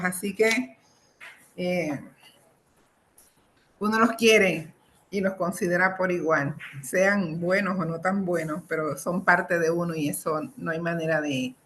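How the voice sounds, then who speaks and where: A middle-aged woman speaks as a poet sharing her work. Her voice sits a little low and moves very slowly, with deliberate, expressive phrasing. There is faint noise behind her. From Dominican Republic. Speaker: poet and professor presenting own work. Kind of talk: poetry reading with informal introductions.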